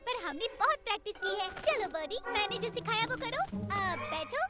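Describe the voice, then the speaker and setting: A woman's voice: very high voice, animated, a little fast. A woman voicing an eager, kind young superhero girl in a dubbed children's cartoon, speaking earnestly. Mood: cheerful. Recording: voice over loud music.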